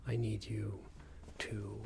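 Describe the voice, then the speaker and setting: A man playing a smug, unreasonable boss in an ASMR roleplay, scolding an employee in a soft breathy whisper with a hesitant, condescending manner. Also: level tone, slow pace, noisy background, exaggerated voice. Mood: passive-aggressive. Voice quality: breathy soft whisper with low creaky murmur. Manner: hesitant, condescending, meandering reprimand.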